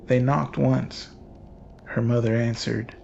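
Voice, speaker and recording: A man reading scripted horror narration in a grave, measured, ominous manner. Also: mid-range voice, flat delivery, vocal fry, darkish tone, lo-fi sound, a little hiss or hum.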